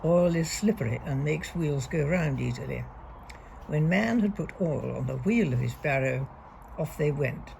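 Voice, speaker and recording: A woman reading a picture book aloud to young children, deliberately and expressively, leaning on key words. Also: deep voice, animated, gravelly, darkish tone, slight background noise, poor audio. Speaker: storybook reader.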